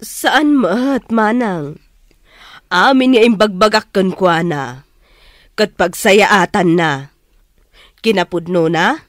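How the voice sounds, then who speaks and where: An actress playing a calm, wise middle-aged aunt who advises gently but firmly in a Filipino radio drama. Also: female voice, medium pitch, takes it slowly, pauses often, no echo, clean studio sound, natural voice. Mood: firm, pleading.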